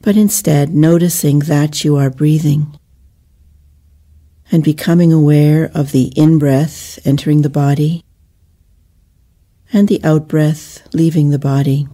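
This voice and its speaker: A woman leading a guided meditation in a soothing, measured manner with gentle pauses between phrases. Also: sits low, steady tone.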